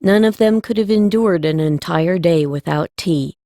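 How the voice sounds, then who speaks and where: A woman's voice: on the low side, moderate pace, mellow, no room sound, clean studio sound. A woman narrating a scripted story as an audiobook reader.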